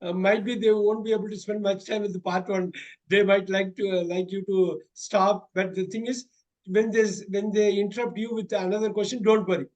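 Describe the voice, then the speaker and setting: A man's voice: high voice, steady tone, normal speed, a little mellow, highs cut off. A man with an Indian English accent tutoring students through a mock speaking exam, repeating his praise often.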